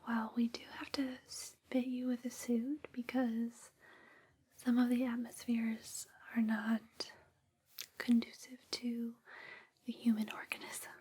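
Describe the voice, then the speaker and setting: A woman performing a whispered ASMR roleplay, gentle, soothing and unhurried, close on a binaural microphone. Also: on the high side, flat delivery, slightly rough.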